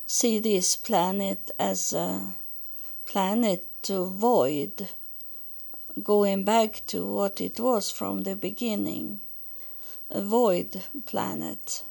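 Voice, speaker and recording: A woman from Sweden with a Swedish accent, speaking English as a second language in a halting spiritual podcast monologue, searching for words. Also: mid-range voice, fairly even intonation, gravelly, warm tone. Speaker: podcast host relaying spiritual messages.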